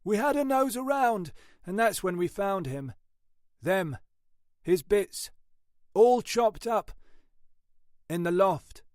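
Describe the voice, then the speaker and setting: A mournful, overworked male detective from a crime story, put on by a male narrator. He grumbles chattily in a high-lifted, swooping voice with a very dry sound. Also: lively intonation, slow pace, long pauses, a little mellow, no echo. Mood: grim.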